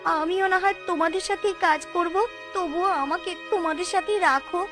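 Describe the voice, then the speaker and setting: Woman as a meek, high-voiced young bride. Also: moderate pace, faint music behind. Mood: meek pleading. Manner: soft, polite, submissive. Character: meek, pleading young new bride from poor family. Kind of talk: Bengali cartoon folk-tale voice acting.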